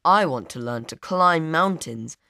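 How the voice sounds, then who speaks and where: A man voicing an adventurous twelve-year-old boy in scripted coursebook audio, speaking slowly and clearly. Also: a little high, animated, sharp tone, dry recording, studio quality, full character voice. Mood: friendly. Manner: slow, clear, careful delivery. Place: recording studio.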